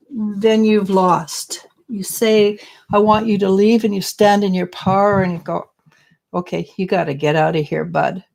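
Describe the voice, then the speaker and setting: Middle-aged woman psychic host, gravelly, mellow, hoarse from losing her voice, rambles and restarts. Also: mid-range voice, poor audio. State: losing her voice, clearing throat. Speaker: psychic reader and show host. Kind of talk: live-stream spiritual advice chat.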